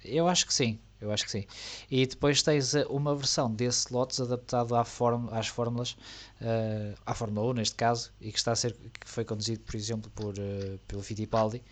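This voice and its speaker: Relaxed, joking man. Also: mid-range voice, brisk. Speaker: co-host of a motorsport tech talk. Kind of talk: casual chat.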